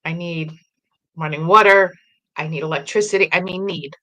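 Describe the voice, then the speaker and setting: A woman raised in New Jersey, talking in an animated, emphatic way in casual conversation. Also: lowish voice, moderate pace, low-quality recording.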